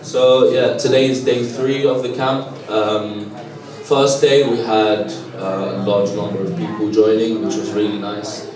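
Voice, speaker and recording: Soft-spoken young man in echoey room. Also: lowish voice, fairly even intonation, far from the mic, a crowd in the background. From Lebanon. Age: young adult. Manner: halting, many fillers, earnest. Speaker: student organiser. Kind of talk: casual interview answers.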